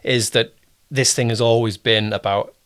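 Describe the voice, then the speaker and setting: A man's voice: medium pitch, fairly even intonation, normal speed, fairly bright tone, smooth. A man acts as the interviewer in a casual chat. He is affable and informal, and he words his questions and remarks a little tentatively. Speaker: interviewer, audio drama producer and director.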